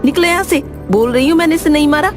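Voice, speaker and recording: A woman playing a fierce, sharp-tongued village wife in a cartoon, scolding and combative. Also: high voice, fast talker, music in the background, lightly acted. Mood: irritated scolding. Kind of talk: cartoon voice acting.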